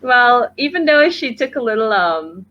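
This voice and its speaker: A woman's voice: a little high, big pitch swings, normal speed, a bit bright. A woman with a Guyanese accent chatting casually as an interview guest over a video call. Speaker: interview guest, makeup artist. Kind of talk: casual chat. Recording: muffled, noisy video call audio.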